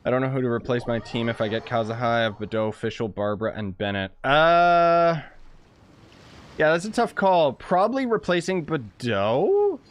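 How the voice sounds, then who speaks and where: A man's voice: medium pitch, sing-song, normal speed, a little hiss or hum. A young man giving casual, rambling live commentary while he plays a game and reacts to it. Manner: chatty, rambling, reacts with laughs. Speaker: game streamer. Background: game music.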